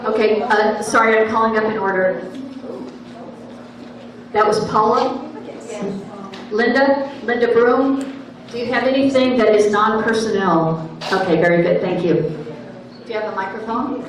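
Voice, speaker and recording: A woman's voice: a little high, steady tone, unhurried, long pauses. A woman chairing a town hall meeting, calling on speakers and answering firmly but sympathetically. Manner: firm but conciliatory, calls on speakers. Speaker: board chair leading the meeting. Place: large echoey meeting room. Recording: echoey room, distant mic, murmuring crowd.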